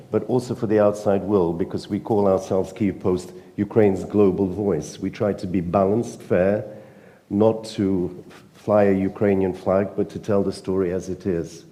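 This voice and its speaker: A man with a British accent, speaking earnestly and emphatically at a conference panel, pausing often with fillers. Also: fairly low pitch, dark tone, in a large hall. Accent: British English. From Britain. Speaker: panel speaker, newspaper editor. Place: conference hall.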